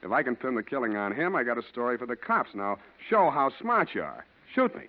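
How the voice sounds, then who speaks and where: A hard-boiled American detective played by a man in a mid-pitched, clipped, wry voice. It is 1940s radio acting, unflappable and street-wise, on a dull old recording. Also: sing-song, brisk, close to an everyday voice. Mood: needling, cool.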